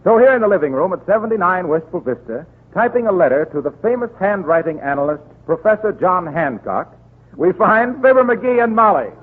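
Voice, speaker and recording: A man's voice: high-pitched, very animated, fairly quick, husky. An American man reading scripted copy as a 1930s radio announcer. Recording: tinny 1930s radio broadcast recording.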